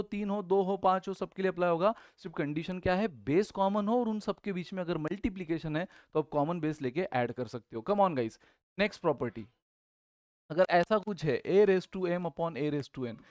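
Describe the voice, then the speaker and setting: A man's voice: high voice, dry recording, clean studio sound. A man with an Indian accent teaching a math lesson while he has a sore throat. He calls his students guys and keeps asking questions and then answering them himself. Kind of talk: online exam-prep math lesson.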